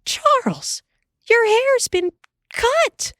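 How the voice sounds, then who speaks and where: A woman reading aloud who voices a calm, practical frontier mother in a raised, soft, sleepy voice. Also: high voice, measured pace, dry recording, studio quality, voice pushed up. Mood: alarmed. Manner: soft, sleepy, then alarmed.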